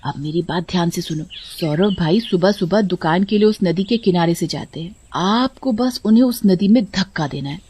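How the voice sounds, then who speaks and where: A woman's voice: on the low side, moderate pace, hardly any pauses, mellow, slight background noise, lightly acted. A woman voicing a cunning, nagging village wife in a cartoon, coaxing and scolding. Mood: conniving. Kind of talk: Hindi cartoon voice acting.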